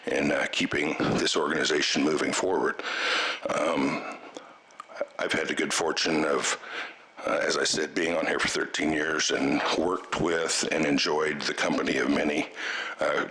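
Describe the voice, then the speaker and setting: Very deep-voiced man with a cold. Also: little pitch movement, pushed and bright, rough recording. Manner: halting, many fillers and restarts, with coughs. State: has a cold, voice strained. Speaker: board chair. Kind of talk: farewell remarks at a public board meeting. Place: meeting room.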